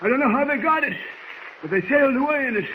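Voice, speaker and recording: An actor playing a folksy, halting rural caretaker in a 1940s radio drama. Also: male voice, extremely high pitch, animated. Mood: anxious, recounting. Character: plain rural property caretaker, hesitant and suspicious. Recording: tinny, faintly noisy 1940s radio recording.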